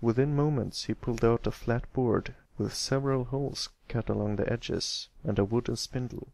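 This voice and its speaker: Creaky-voiced man reading a story. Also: medium pitch, normal speed, darkish tone, dry recording. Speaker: amateur story narrator. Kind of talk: scripted narration, fan fiction reading.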